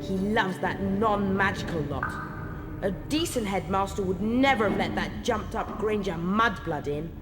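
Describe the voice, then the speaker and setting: A woman's voice: mid-range voice, normal speed, natural voice. A woman playing a sneering, snobbish British schoolboy wizard bully in a video game, haughty and scornful. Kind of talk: video game cutscene acting. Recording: echoing hall, music behind.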